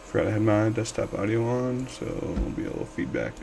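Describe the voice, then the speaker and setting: A man with a slightly hoarse voice, streaming a casual coding tutorial from his desk and rambling with lots of fillers. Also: lowish voice, quick pace, over loud music. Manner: relaxed, rambling with many fillers. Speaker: livestream coding instructor.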